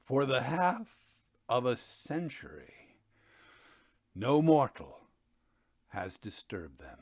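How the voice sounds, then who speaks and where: A man's voice: mid-range voice, wildly swooping pitch, darkish tone, reverberant, heavily acted. Man acting theatrical, vengeful gothic murderer. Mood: grim, grave. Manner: theatrical, deliberate. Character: proud, vengeful aging murderer recounting his crime. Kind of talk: dramatic reading of gothic tale.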